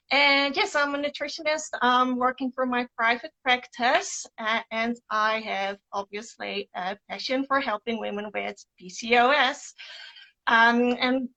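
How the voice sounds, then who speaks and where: A woman's voice: fairly high pitch, bright, forceful tone, poor audio. A woman with a Dutch accent, a nutritionist introducing herself on an online panel with earnest speech and frequent "uh" fillers. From Netherlands.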